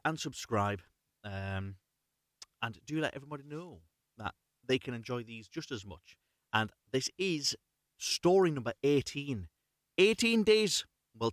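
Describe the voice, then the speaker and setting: A man's voice: medium pitch, sing-song, a little slow, fairly bright tone, dry recording, clean studio sound. A man hosting a live story reading, chatting casually and reading unrehearsed in a rambling, self-correcting way. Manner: rambling, self-correcting, apologetic about slips.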